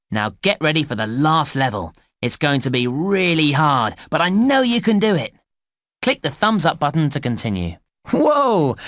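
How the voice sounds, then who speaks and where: A British man voicing a cheerful, encouraging theme park guide in a children's computer game, speaking clearly and enthusiastically. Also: a little high, fairly bright tone, dry recording, clean studio sound. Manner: enthusiastic, clearly enunciated children's presenter delivery. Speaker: game narrator and tutorial guide. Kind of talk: scripted children's game narration.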